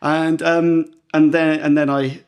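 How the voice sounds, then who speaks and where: A man with a fairly high voice tells stories casually as a podcast co-host. He often stops and corrects himself, with a wry, indignant edge. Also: flat delivery, slow, clean tone. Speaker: podcast co-host and political journalist. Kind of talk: casual chat, telling anecdotes.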